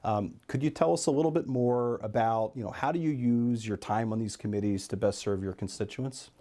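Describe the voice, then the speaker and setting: A man's voice: medium pitch, brisk, dark tone. A man hosting an interview, asking questions and agreeing readily with his guest. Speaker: interview host.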